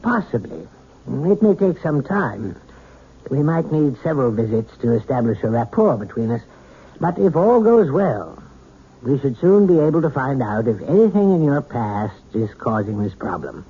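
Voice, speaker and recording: A clinical, reassuring psychiatrist in an old radio play. His voice is extremely husky, at a middling pitch, and he explains in measured phrases. The sound is narrow and thin. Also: very animated, full character voice. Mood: calm, clinical. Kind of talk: radio drama acting. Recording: thin, band-limited old radio recording.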